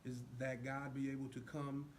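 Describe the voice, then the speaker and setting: A man's voice: medium pitch, little pitch movement, moderate pace. A man speaking earnestly and casually as an actor guest in an interview. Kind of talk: casual chat. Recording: faintly noisy room recording.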